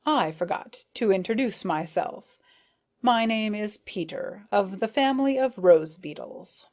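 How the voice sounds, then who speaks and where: A woman voicing a good-natured but excitable male rose beetle with an indulgent, proud manner. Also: medium pitch, measured pace, natural voice.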